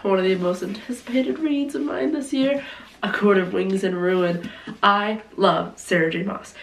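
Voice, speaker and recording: A hoarse young woman chatting casually about her favorite books, gushing and saying "like" often, with a cough now and then. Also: medium pitch. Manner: gushing, heavy use of "like". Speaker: book vlogger. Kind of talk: casual book review chat. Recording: roomy, distant mic, faint noise.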